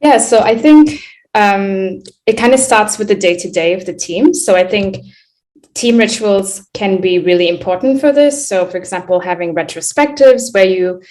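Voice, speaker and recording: A woman's voice: mid-range voice, normal speed, darkish tone. A woman from India with an American accent, speaking casually and thoughtfully as a panelist on a video call, with many fillers. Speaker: panelist, engineering director. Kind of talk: panel discussion.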